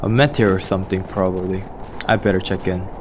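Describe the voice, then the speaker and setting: Man playing an earnest state trooper, low voice. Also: fairly even intonation, brisk, muffled recording, natural voice. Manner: hesitant, earnest. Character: young state trooper, cautious. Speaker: student actor. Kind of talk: school radio play acting. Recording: clear background noise.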